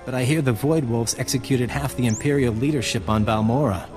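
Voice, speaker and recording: An actor playing a flirtatious resistance fighter in a video game, easygoing, confident and wry. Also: male voice, medium pitch, fairly quick, darkish tone, faint music behind, close to an everyday voice. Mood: hopeful, rueful. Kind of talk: video game dialogue acting.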